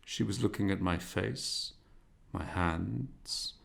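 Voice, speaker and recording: A man narrating a literary novel as an audiobook, in a measured, expressive, dramatic reading manner. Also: low-pitched, creaky.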